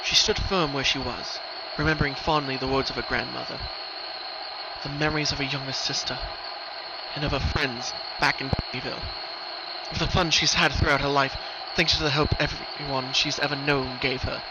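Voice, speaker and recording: A man's voice: medium pitch, fairly even intonation, normal speed. A man narrating a scripted story in an earnest, theatrical way. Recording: dull lo-fi recording, background noise. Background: noise.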